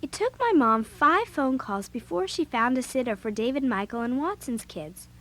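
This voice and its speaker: Eager mouthy american middle school girl, high bouncy voice, telling her story in a kids audio play. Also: moderate pace. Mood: storytelling. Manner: animated, blunt. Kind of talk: audio drama narration and dialogue for children.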